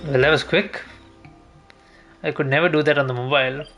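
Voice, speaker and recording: A man's voice: on the high side, fairly even intonation, fairly quick, a bit bright, lo-fi sound. A man livestreaming a video game, chatting casually and thinking aloud in a rambling, hesitant way as he plays. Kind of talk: casual gameplay commentary, chatting while playing. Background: video game music.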